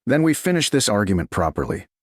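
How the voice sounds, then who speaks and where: A man voicing a steady, intense medieval duke in a romance audiobook, terse and controlled. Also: lowish voice, fast talker, dry recording, studio quality.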